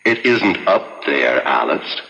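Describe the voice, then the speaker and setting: Deep, echoing ghostly husband. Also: male voice, big pitch swings, bright, forceful tone, lo-fi sound, full character voice. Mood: aloof, musing. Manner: measured, philosophical, cryptic. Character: dead husband's ghost, calm, aloof, somewhat testy. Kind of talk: 1970s radio drama acting. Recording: band-limited old radio, long cavernous reverb.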